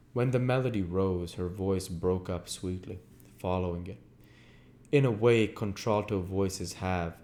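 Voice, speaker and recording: A man's voice: deep voice, a little mellow. A man narrating literary fiction as an audiobook reader, in a scripted style.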